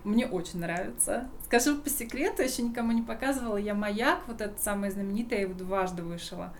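Lively woman guest telling stories. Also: mid-range voice, fast talker, slight background noise. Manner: lively storyteller, laughs often. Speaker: embroiderer and online shop owner, podcast guest. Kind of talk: casual podcast conversation.

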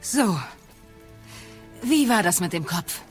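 An actress playing a stern yet caring witch of the woods in a German audio drama, measured and commanding. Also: medium pitch, expressive, soft background music. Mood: calm, focused.

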